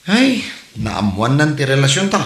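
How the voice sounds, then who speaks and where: A man's voice: mid-range voice, sing-song, unhurried, a bit bright. A man with an Ilocano accent playing a sheepish, whiny cheating husband in an audio comedy drama. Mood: grumbling. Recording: echoey room.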